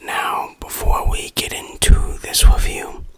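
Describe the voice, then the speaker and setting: An ASMR game reviewer speaks entirely in a whisper, with a bright, crisp edge to the breath. The delivery is very slow and deliberate, with a playful touch. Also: no room sound.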